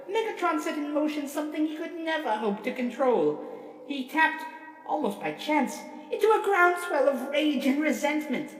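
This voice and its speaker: A woman acts out a vain robot leader delivering a grand courtroom speech. The voice is high and sweeps up and down with pompous theatrical flourish, set in an echoey hall. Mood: grave, persuasive. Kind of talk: dramatic reading of a courtroom speech. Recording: echoey hall reverb, distant, music behind.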